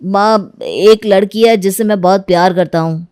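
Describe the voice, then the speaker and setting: A man's voice: high voice, moderate pace, darkish tone, clear, resonant tone, no room sound, clean studio sound, lightly acted. A man playing a mild, affectionate young son and husband in a cartoon family story. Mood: shy, happy.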